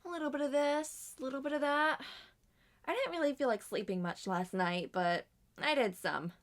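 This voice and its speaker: A woman's voice: high-pitched, moderate pace, pitched above the performer's own voice, natural voice. A woman plays a laid-back robot killer in a roleplay drama. The character talks with lazy indifference and a swinging, casual tone. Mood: nonchalant. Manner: nonchalant, lazy.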